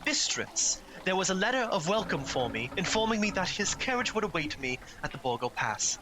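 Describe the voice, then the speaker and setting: A man's voice: high voice, sing-song, a little fast, noisy background. An actor playing an earnest, anxious young lawyer's clerk narrating his journal in a dramatic radio play style. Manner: dramatic, urgent, mounting dread.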